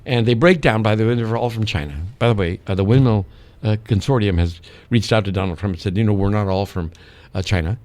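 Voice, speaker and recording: A man's voice: lowish voice, quick pace, darkish tone. A man hosting a radio morning show, talking casually and interviewing a guest in a studio.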